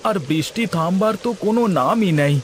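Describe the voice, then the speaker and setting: Cartoon casting: anxious village husband played by a man, highish voice, practical and insistent, faint rain behind. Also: expressive, a little fast, slight background noise. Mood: urgent. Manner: urgent, insistent. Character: cautious, practical male villager, a worried husband. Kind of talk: cartoon dialogue.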